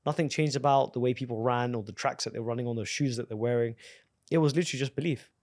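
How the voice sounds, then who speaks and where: A man's voice: medium pitch, fast talker, a little mellow, dry recording, clean studio sound. A man hosting a podcast, talking in a casual, enthusiastic and profane way, full of bro slang. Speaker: podcast host and business coach. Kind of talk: casual interview conversation.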